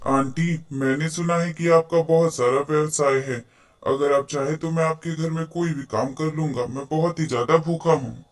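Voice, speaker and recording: Young cartoon crow, played by a man: slightly high voice, earnest, pleading, caring older brother. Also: steady tone, moderate pace, natural voice. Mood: hungry, pleading. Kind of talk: cartoon moral story acting.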